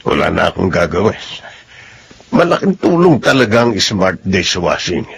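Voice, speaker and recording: An actor playing a middle-aged, easily exasperated uncle in a theatrical Filipino radio drama. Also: male voice, sits low, creaky, a little hiss or hum, exaggerated voice. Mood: easygoing, puzzled. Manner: expressive, slow, theatrical. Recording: music behind the voice.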